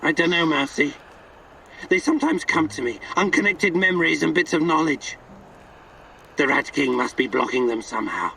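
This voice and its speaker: A man's voice: a little high, lively intonation, moderate pace, creaky, bright, forceful tone, recorded from a distance, slight background noise, heavily acted, poor audio. An actor voicing an eccentric, twitchy male vagrant in a video game, speaking in cryptic, theatrical, playful riddles. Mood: curious, playful. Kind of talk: video game character acting.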